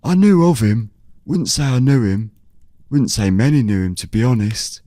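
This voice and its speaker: A man's voice: mid-range voice, lively intonation, normal speed, warm tone, no room sound, clean studio sound, pitched above the performer's own voice, natural voice. A man playing a chatty, hospitable elderly villager in an audio drama, talking in folksy, repetitive, clipped phrases. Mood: reminiscing.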